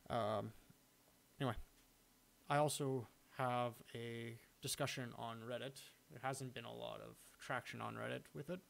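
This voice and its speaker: A man giving a casual, unscripted screen-recording walkthrough of his own software, halting as he thinks aloud. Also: mid-range voice, gravelly, slight background noise. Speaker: software hobbyist demonstrating own add-on. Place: indoors, at a computer recording the screen.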